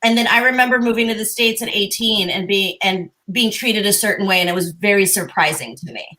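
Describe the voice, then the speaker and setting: A woman's voice: medium pitch, brisk, pushed and bright, poor audio. A woman who grew up in Mexico, telling personal stories in a casual panel chat. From Mexico, born in the Philippines. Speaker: podcast panelist.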